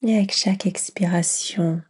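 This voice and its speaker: A woman leading a guided yoga nidra meditation in a gentle, soothing near-whisper with long pauses. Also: fairly low pitch, steady tone, slightly rough.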